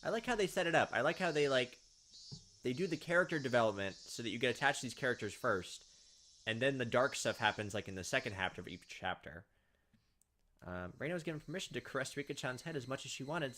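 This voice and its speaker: A man's voice: mid-range voice, big pitch swings, quick pace, a bit bright, slight background noise. Wry young man reading a game aloud. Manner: casual, wry. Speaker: let's player. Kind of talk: reading and commenting on a visual novel playthrough.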